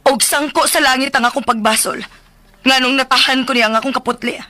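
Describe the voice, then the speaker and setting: A woman's voice: sits high, steady tone, fairly quick, pushed and bright, no echo. A woman acting in a radio drama as a weary, regretful young mother, with an emotive, sighing delivery. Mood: bitter regret.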